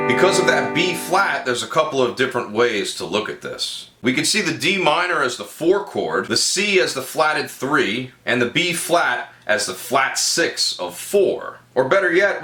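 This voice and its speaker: Man teaching guitar over loud music. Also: mid-range voice. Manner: explanatory, with playful wry asides. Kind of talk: guitar tutorial with music analysis. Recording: echoey room with loud music. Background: loud guitar and band music.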